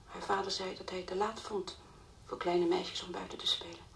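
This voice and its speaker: An actress playing the anxious, distraught mother of a missing girl in a Dutch radio play, halting and repeating her words. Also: on the low side, level tone, vocal fry, fairly bright tone. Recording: old radio play recording, faint hiss.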